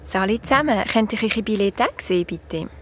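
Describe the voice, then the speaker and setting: A woman's voice: mid-range voice, expressive, moderate pace, close to an everyday voice. Woman playing a polite Swiss train conductor. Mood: official, polite. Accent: Swiss German. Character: polite, suspicious female train ticket inspector. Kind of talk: children's radio drama acting. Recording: faint train vehicle noise.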